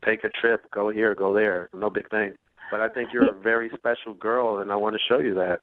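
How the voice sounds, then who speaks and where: A man's voice: on the low side, steady tone, a little fast, dry recording. A self-assured, brash man calling in by telephone, talking casually and persuasively. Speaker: phone-in guest on a dating segment. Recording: tinny, noisy phone line.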